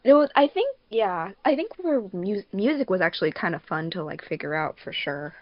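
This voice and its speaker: A woman's voice: mid-range voice, big pitch swings, moderate pace, no echo, dull audio. A giggly, rambling woman hosting a casual group podcast. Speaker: podcast host, fandub production crew member.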